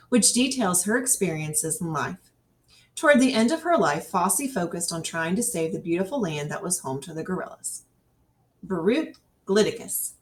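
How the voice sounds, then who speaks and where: A woman reads an educational nonfiction text aloud as a scripted narration, with careful, clearly phrased delivery. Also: medium pitch. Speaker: reader. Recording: distant microphone.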